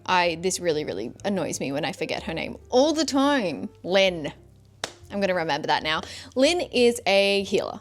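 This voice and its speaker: A woman speaks in a medium-pitched voice, as if chatting to friends on her vlog. Her delivery is informal and effusive. She leans hard on words for emphasis as she gushes. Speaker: book vlogger and podcaster. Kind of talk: casual chatty book review to camera.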